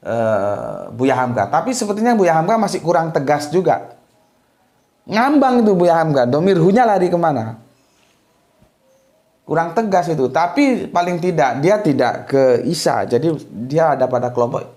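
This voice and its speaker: A man's voice: on the high side, a little mellow. A man from Sumatra giving an informal religious lecture, talking loosely and tagging many sentences with a short "ya". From Sumatra, Indonesia. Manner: loose, conversational, with frequent "ya" tags and restarts. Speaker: religious teacher.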